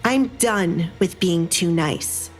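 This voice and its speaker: A woman's voice: lowish voice, slow. A woman voicing a wronged young noblewoman in an audio drama, stern, defiant and vengeful. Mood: cold, threatening. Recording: background music under the voice.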